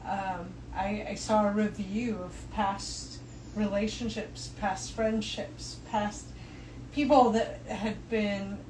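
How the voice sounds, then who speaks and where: A woman guest talks reflectively in casual spiritual chat, often stopping and restarting her thoughts. She is heard from a distance in a reverberant room. A clear noise bed runs under her voice. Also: medium pitch, flat delivery, slow pace. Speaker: podcast guest.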